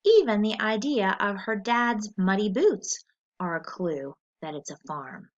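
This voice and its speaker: A woman teacher reading a story aloud in an expressive, deliberate, instructional way, as for a lesson with questions. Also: mid-range voice. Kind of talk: scripted story read-aloud with comprehension questions. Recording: dull lo-fi recording.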